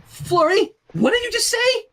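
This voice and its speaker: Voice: very high-pitched, very animated, measured pace, a bit bright, voice pushed up, heavily acted. A performer voicing a panicky, exasperated young pony mare who exclaims and repeats words in alarm, in an amateur cartoon fanfiction reading.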